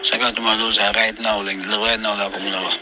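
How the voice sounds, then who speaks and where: A man's voice: fairly low pitch, level tone, fast, background noise. A man with a Gambian accent in a panel discussion, speaking emphatically and repeating words for stress.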